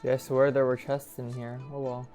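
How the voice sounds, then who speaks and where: A young man giving casual, excitable commentary while playing a video game. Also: medium pitch, normal speed. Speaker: gaming youtuber. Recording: game music behind.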